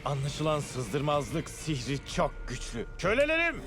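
A man's voice: fairly high pitch, wildly swooping pitch, a little slow, full character voice. A man voicing a pompous, imperious thousand-year-old villain sorcerer for a dubbed cartoon. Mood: grandiose scheming. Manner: imperious, scolding. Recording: loud music behind. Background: music.

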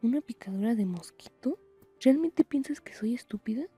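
A woman voicing a blunt, sarcastic older witch and guardian in a fan comic dub, stern and husky. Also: fairly high pitch, expressive, moderate pace, mellow, no echo, faint music behind.

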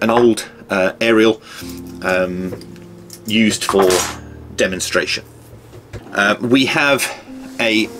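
A man's voice: fairly low pitch, fairly bright tone. A British man in his fifties giving an informal, hesitant, unscripted how-to demonstration as a practical presenter. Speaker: presenter of a radio how-to video. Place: small home office or workshop room.

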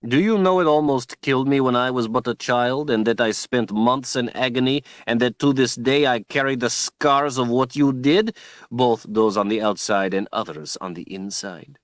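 A man's voice: medium pitch, moderate pace, dry recording, studio quality, pitched above the performer's own voice, close to an everyday voice. A man voicing a cruel male tyrant who speaks in a silky, taunting, menacing way. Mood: bitter.

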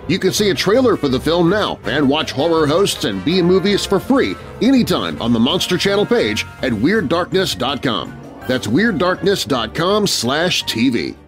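A man hosting a horror radio podcast, reading a scripted promo upbeat and straight to his fans. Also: on the high side. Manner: upbeat, addressing fans directly. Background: music.

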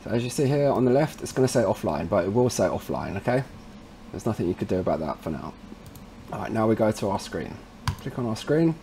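Mellow man giving a casual tech tutorial. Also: lowish voice, sing-song, brisk, a little hiss or hum. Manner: informal, addresses viewers as guys. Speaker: tutorial presenter, installer trade. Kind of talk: casual step-by-step software tutorial.

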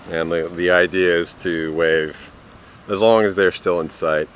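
Deep-voiced man vlogging to camera. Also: unhurried, slight background noise. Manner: relaxed, rambling, self-deprecating. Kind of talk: casual vlog talk to camera. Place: porch and living room of a house, then dark room. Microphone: handheld camera at arm's length.

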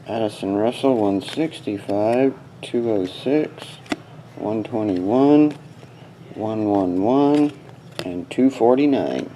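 A man's voice: lowish voice, slow, warm tone, slight background noise, low-quality recording. A man hosting a card break with casual, terse commentary, muttering short fragments as he sorts through cards. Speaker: host of a sports card box break. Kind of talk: casual live commentary while opening card packs. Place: small indoor desk setup.

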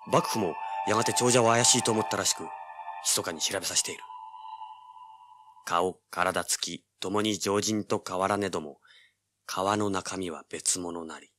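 An actor playing a brash young male treasure hunter in an audio drama, with a rough, slangy, hard-boiled delivery. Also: deep voice, moderate pace, a bit bright. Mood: hard-boiled storytelling. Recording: noisy old cassette audio drama.

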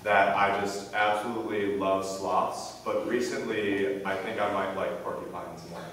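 A young man from the Chicago area giving a casual, slightly halting self-introduction to an audience. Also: on the low side, little pitch movement, background noise. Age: young adult. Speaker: student singer. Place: large echoey hall. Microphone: far camera. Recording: roomy hall sound from far off.

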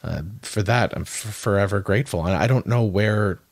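Young man, musician guest, low voice, dry close sound, earnest, halting. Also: dry recording. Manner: earnest, halting, many restarts. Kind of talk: casual interview chat.

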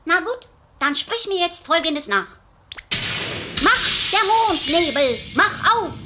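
A woman's voice: extremely high pitch, sing-song, slow pace, heavy background noise, full character voice. A woman playing a bossy, impatient female cat mentor in an anime audio drama, insistent and instructing. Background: loud music.

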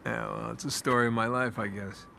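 An actor playing an earnest American adventurer in his thirties in a video game, speaking informally with halting stammers. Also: mid-range voice, fairly even intonation, creaky, sharp tone, a little hiss or hum. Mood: sheepish. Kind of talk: naturalistic cinematic game acting.

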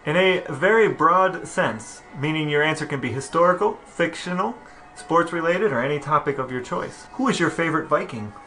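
Slightly high-voiced man, dry podcast banter. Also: animated, moderate pace, pushed and bright, faint music behind, poor audio. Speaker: podcast co-host. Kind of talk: casual podcast banter and questions.

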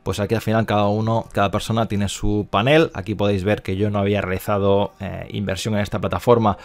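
Low-voiced Spanish man explaining things. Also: level tone, fast talker. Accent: Spain. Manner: explanatory, repeats himself and checks in with tag questions. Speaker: video presenter promoting crypto mining investments. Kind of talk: casual explanatory screen-share commentary.

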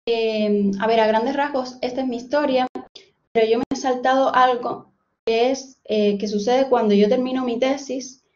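A woman's voice: on the high side, dark tone. A woman in her thirties with a Cuban Spanish accent gives an online seminar from home, telling her own story in a friendly, personal way. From Cuba. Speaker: guest lecturer, postdoctoral researcher. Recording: dull lo-fi video call audio.

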